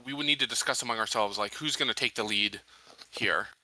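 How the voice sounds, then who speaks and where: A man playing a tabletop game, deliberating aloud in measured, somewhat formal, halting sentences. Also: medium pitch, pushed and bright, dry recording, low-quality recording. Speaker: player in a tabletop roleplaying game.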